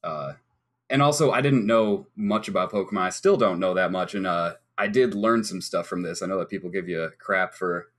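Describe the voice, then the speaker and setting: A man's voice: sits low, normal speed. A man guesting on a casual podcast, talking eagerly and enthusiastically.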